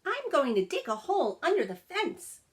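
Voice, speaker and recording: A woman's voice: high-pitched, huge pitch swings, normal speed, pitched above the performer's own voice. A woman voicing a friendly, eager young male dog from a storybook, with a chirpy, bouncy delivery.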